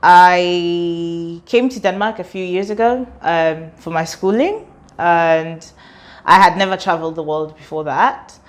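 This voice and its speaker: A young woman from Kenya whose English carries a British-sounding accent. Her voice sits a little low. She talks casually and expressively in an interview, breaking into laughter often. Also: a little slow. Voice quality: laughs often while talking, chatty and expressive. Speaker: interviewee, student and artist.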